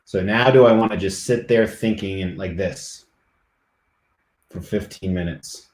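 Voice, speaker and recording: A man's voice: deep voice, unhurried, darkish tone, reverberant, slight background noise. A middle-aged man live streaming, chatting casually and rambling to his viewers.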